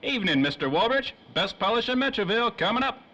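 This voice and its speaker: A high-pitched eager shoeshine boy. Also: sing-song, quick pace, pushed and bright, exaggerated voice. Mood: chipper. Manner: street-vendor patter, earnest and deferential. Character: eager, nervous young shoeshine boy, streetwise. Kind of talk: old-time radio drama acting. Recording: dull, faintly noisy old radio recording.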